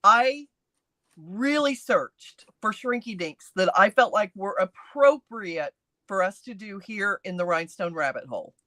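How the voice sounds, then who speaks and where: Lively woman host in her late fifties. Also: mid-range voice, a little slow, no echo. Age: late 50s. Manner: lively and teasing, addresses viewers directly. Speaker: host of a craft live stream. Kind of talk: casual live-stream chat, reading viewer comments.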